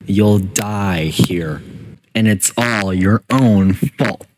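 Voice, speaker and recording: Slow, low-voiced male AI acted by a man. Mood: menacing, disappointed. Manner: slow, measured, condescending. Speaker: test chamber announcer and antagonist. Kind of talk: scripted video game voice lines.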